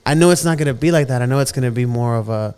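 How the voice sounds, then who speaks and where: An earnest man in his thirties, speaking at a middle pitch in a loose podcast conversation. He often doubles words as he works out what to say, and his tone is open and sincere. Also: very fast, darkish tone, clean tone. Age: 30s. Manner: earnest, repeats words as he gathers his thoughts. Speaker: podcast co-host. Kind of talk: casual heartfelt chat.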